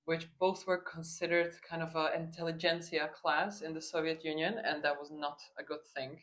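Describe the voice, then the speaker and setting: A woman in her thirties from Ukraine, a biochemist guest chatting in a casual interview, lively when she tells a story. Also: low voice, moderate pace. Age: 30s.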